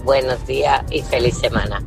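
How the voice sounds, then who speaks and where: Low-voiced woman, casual message. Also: normal speed, gravelly, a little mellow, faint music behind, low-quality recording. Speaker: listener sending a voice message. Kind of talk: casual recounting.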